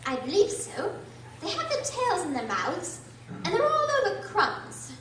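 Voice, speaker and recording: A woman playing a polite, curious, opinionated little girl in a prim, expressive radio drama manner. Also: very high-pitched, a little slow, exaggerated voice. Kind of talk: amateur radio drama acting. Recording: echoey room, distant mic, hissy noise.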